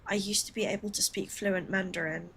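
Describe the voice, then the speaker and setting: A woman's voice: medium pitch, fairly even intonation, fairly quick, gravelly, a bit bright. Woman from the Philippines, streamer, rambling chatty talk, laughing asides, home setup, faint hiss. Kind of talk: casual gameplay chat with viewers.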